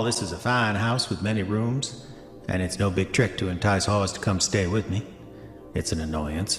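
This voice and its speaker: An actor playing a roguish, haunted gold prospector reading his diary in formal old-fashioned words for a horror audio drama. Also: low voice. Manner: formal old-fashioned diction, deliberate, self-justifying. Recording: echoey hall reverb, music underneath.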